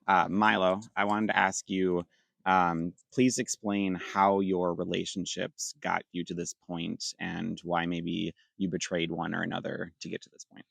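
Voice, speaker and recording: Man, deep and creaky, flat delivery, hesitant juror. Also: measured pace, no echo. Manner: hesitant, polite, many ums and likes. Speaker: jury member. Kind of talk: asking questions at a game final.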